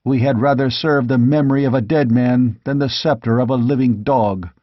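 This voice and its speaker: A man's voice: medium pitch, steady tone, normal speed, no echo, dull audio, close to an everyday voice. A man voicing a stern, proud knight captain with a cold, commanding manner in a fantasy audiobook. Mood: cold, defiant.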